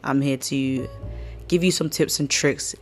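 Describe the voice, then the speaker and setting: A woman with a croaky, hoarse voice hosting a casual podcast, rambling and slangy as she chats. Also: a bass voice, fairly even intonation, measured pace, soft background music. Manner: chatty, slangy, rambling storyteller. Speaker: podcast host. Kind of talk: casual chat.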